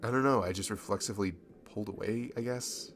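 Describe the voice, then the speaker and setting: A man acting a nervous, smitten young man in an intimate ASMR roleplay, speaking in a halting, stammering, bashful way. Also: fairly low pitch, slight background noise, close to an everyday voice. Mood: anxious, apologetic. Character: nervous, smitten young man confessing to his childhood best friend.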